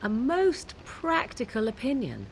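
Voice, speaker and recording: A woman's voice: on the high side, huge pitch swings, unhurried, a little hiss or hum, exaggerated voice. An actress playing a haughty, sardonic witch in a fantasy video game, speaking in archaic, formal phrases. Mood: cool approval. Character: haughty, sardonic witch woman, aloof and wary.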